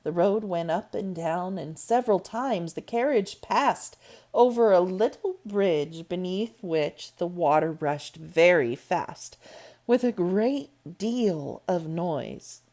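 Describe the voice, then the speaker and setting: A woman reading a classic novel aloud, slow and expressive. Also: on the low side. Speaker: storybook reader.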